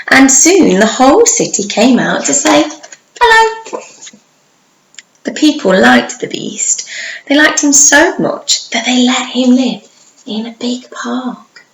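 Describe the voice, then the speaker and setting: A woman reads a children's storybook aloud in a slightly high voice. She takes it slowly, stretching words and pausing for effect. The room is echoey, with faint noise behind her. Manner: measured, dramatic pauses and drawn-out words for young listeners. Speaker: primary school teacher reading a story.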